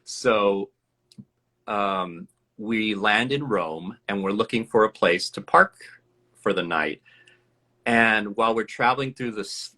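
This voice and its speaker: Interview guest, male, American, bright lowish voice, reflective storyteller. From USA. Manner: reflective, earnest, laughs often. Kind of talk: storytelling in a casual live interview.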